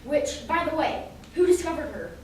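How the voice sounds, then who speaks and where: An earnest radio-play police detective played by a woman, pitched high for the part. The voice rings in a very echoey hall, some distance from the mic. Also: sing-song, normal speed, darkish tone, slight background noise. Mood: businesslike. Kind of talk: old-time radio drama acting.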